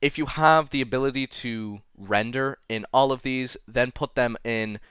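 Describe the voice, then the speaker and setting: A young man with an English-Irish accent hosting a casual screen-recorded tutorial, chatty and quick to correct himself with small apologetic asides. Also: medium pitch, fairly bright tone, clean tone, no room sound, studio quality. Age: young adult. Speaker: tutorial host.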